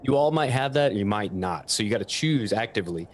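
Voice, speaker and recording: A man's voice: lowish voice, animated, a little fast, slight background noise. A man giving an informal technical explanation as an audio equipment expert, speaking into a stationary microphone at a desk. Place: at a desk in a room.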